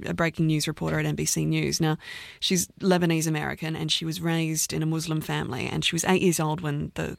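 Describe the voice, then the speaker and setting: A man's voice: on the high side, gravelly, no echo, studio quality. A man hosting a radio interview program, asking thoughtful, searching questions. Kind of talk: interview host.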